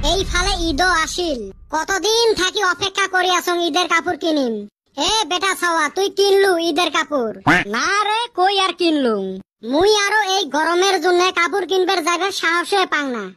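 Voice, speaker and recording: Very high childlike voice, excitable cartoon village boy, complaining banter, clear music backing. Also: normal speed, dry recording. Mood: whiny, exasperated. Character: excitable village boy joking and bickering with friends. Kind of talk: Bengali comic cartoon dub.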